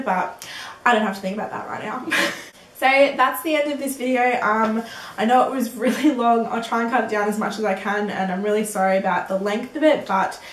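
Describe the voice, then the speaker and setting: A woman's voice: a little high, echoey room, far from the mic. An eighteen-year-old woman answering viewer questions in a casual beauty vlog, chatty and giggly, with lots of ums and likes. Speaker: beauty vlogger.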